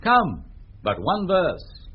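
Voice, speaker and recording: A man's voice: sits high, huge pitch swings, extremely slow, slight background noise, full character voice. An actor playing a melancholy, romantic nobleman with a British accent in 1970s radio Shakespeare. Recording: dull old radio recording. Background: plucked guitar music.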